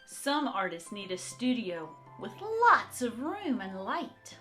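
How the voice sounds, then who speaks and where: A woman art teacher reading aloud and talking to young children in an encouraging, expressive, direct way. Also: fairly high pitch, takes it slowly, soft background music. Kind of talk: children's read-aloud and talk to young kids.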